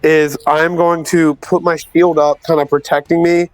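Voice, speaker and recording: A man's voice: on the high side, level tone, measured pace, no echo. A man with a slightly raised voice, chatting casually as a player in a tabletop game. He thinks aloud in a plain, practical way while faint music plays behind him.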